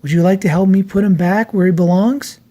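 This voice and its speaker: A storyteller voicing an excitable, helpful female zookeeper in a children's storybook, with emphatic exclamations. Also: mid-range voice, fairly quick, close to an everyday voice. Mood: friendly.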